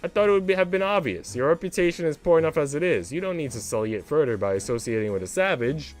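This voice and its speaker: A man's voice: fairly high pitch, expressive, fast talker, soft background music, close to an everyday voice. A man voicing a haughty, condescending English maid, a prim woman with a cruel streak, in a visual novel. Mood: smugly disdainful.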